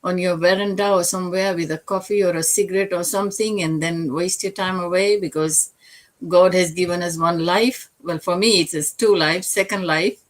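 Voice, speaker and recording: A woman in her sixties from Fiji speaks as a business mentor on an online panel. Her voice is fairly low, and her phrasing is informal and a bit halting. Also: fairly even intonation. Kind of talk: informal self-introduction in an online session.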